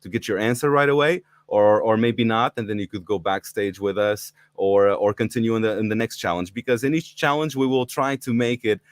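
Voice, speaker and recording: A man's voice: lowish voice, fairly quick, few pauses, dry recording. A middle-aged man from Portugal hosting a live online talk, eager and inviting, with frequent fillers and restarts. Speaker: livestream host. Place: indoors in Portugal.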